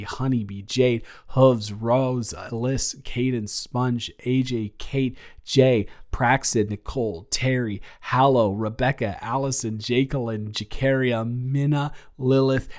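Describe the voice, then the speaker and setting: A man's voice: medium pitch, darkish tone. A man, an audio creator, reading out supporter names and a channel announcement.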